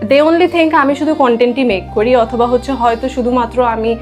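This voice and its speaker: A young woman with a Bangladeshi accent and a slightly high-pitched voice talks in a casual interview style. She slips often between Bangla and English phrases. Faint music plays behind her. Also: steady tone, moderate pace, darkish tone. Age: young adult. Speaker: interview guest, trainer and content creator.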